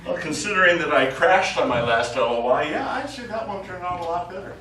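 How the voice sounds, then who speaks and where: An actor playing a nonchalant, wry astronaut in a stage play. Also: male voice, high voice, animated, brisk, a crowd in the background. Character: nonchalant, wry male astronaut tending the ship. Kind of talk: stage acting. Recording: echoey distant stage recording, faint noise.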